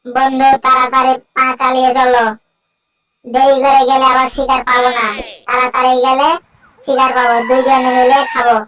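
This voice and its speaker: A high-pitched, eager cartoon animal, voiced by a woman. The delivery is insistent and hurrying, on a very flat melody. It sounds a little distant. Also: normal speed. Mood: urgent. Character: eager, hurrying animal friend going hunting. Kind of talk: cartoon character voice acting.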